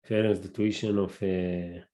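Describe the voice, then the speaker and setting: A man with a Hebrew accent, a native Hebrew speaker, hosting a casual solo podcast and talking haltingly, often searching for words and restarting. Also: low voice, flat delivery, dark tone. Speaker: podcast host.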